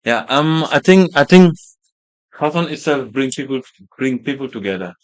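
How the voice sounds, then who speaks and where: A man's voice: mid-range voice, lively intonation, moderate pace, poor audio. A man from Gambia whose first language is Wolof. He is a fashion brand founder talking as a guest in a casual interview, with many fillers and restarts.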